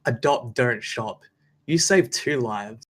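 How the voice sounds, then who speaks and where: A woman plays an office receptionist who loves dogs. She speaks in a gushing, expressive way, as read aloud from a film script. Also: a bass voice, slow, heavily acted. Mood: chatty.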